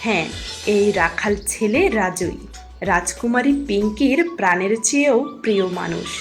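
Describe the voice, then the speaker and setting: A woman narrates a fairy tale from a script. Her pitch is medium, and music plays clearly behind her. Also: animated, normal speed.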